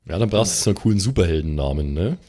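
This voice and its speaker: Lively, low-voiced man chatting. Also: rapid-fire. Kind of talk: casual chat.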